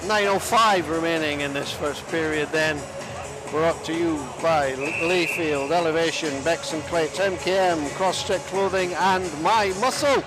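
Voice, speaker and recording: A man giving live play-by-play commentary on an ice hockey game, chatty and self-deprecating, sometimes pausing to find his words. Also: a little high, a crowd in the background, low-quality recording. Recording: music clearly audible behind the voice. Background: music.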